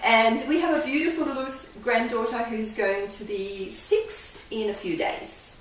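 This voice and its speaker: A woman's voice: a little high, fairly even intonation, measured pace. An older woman with a Hungarian accent, speaking earnestly as a life coach guest in an interview. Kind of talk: candid interview. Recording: echoey room, mic far off, faint hiss.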